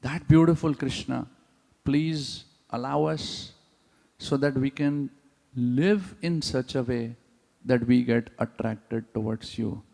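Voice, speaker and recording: A man's voice: mid-range voice, takes it slowly, creaky, a little mellow. A man giving a devotional class at a temple, often checking in with his listeners with a questioning "huh?". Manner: engaging, asks the audience "huh?" often. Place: temple hall. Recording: long hall reverb.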